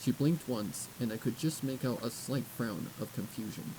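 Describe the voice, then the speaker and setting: A man's voice: medium pitch, expressive, moderate pace, noisy background. A man reading a story aloud as an audiobook narrator, in a scripted narration style.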